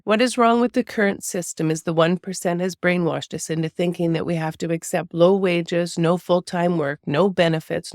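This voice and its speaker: A woman's voice: fairly low pitch, no echo, studio quality. Stuffed-up woman in an earnest monologue. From Canada. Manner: earnest, campaigning tone, building lists. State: stuffed up from a cold. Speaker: channel host presenting her own project. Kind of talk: prepared monologue to camera.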